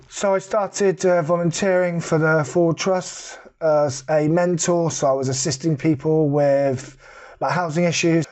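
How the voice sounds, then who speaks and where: A man's voice: on the high side, darkish tone. A middle-aged man giving a candid personal testimony in a plain-spoken, conversational way. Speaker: charity ambassador telling personal story.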